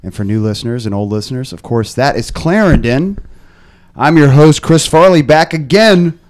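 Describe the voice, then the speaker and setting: Slightly hoarse man hosting a podcast. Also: mid-range voice, moderate pace. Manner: rambling, frequent fillers and restarts. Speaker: podcast host. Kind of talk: casual podcast chat. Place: podcast studio.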